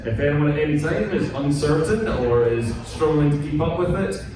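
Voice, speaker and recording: A man's voice: on the high side, normal speed. Rambling Scottish man in an echoey hall. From Scotland. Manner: rambling, chatty and self-deprecating. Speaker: author on a convention panel. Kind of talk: casual panel talk. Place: convention hall. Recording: echoey hall, distant mic, noisy.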